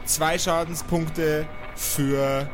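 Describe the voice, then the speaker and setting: Higher-voiced male game master over music. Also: fairly even intonation, very slow. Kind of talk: improvised tabletop game narration. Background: background music.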